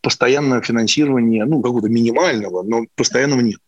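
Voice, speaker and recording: A man's voice: mid-range voice, fast talker, no room sound, lo-fi sound. A man hosting an interview in speech, musing and emphatic, often checking for agreement as he builds his questions. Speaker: interview host.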